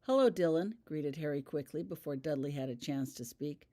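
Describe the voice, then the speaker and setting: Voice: medium pitch, little pitch movement, normal speed, warm tone. An author reading their own fan fiction as scripted audiobook narration.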